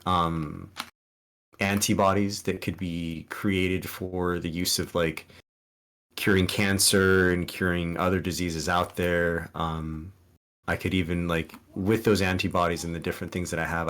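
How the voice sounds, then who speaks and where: A man's voice: deep voice, fairly bright tone. A man whose first language is Spanish, a streamer guest on a podcast giving earnest, rambling advice with many restarts and ums. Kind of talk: casual podcast interview.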